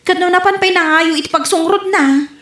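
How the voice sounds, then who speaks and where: A woman's voice: very high voice, a little fast, fairly bright tone, echoey room. A woman with an Ilocano accent acting a scatty, whining comic singer in a radio drama. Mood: chatty.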